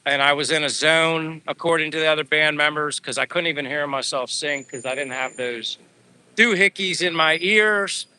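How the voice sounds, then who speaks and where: A man in his fifties from Pennsylvania, rambling in casual live chat and reading out viewer comments, his voice going hoarse from talking. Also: fairly high pitch, normal speed, sharp tone. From Pennsylvania, USA. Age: 50s. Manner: rambling, chatty, answers viewers by name. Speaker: livestream vlogger. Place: outdoors on a walk.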